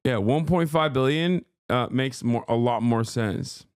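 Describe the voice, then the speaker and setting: A man's voice: medium pitch, a little slow, clean tone, no echo, clean studio sound. A man from Turkey, a native Turkish speaker, hosting a casual live-stream reaction and bluntly teasing his viewers. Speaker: streamer host.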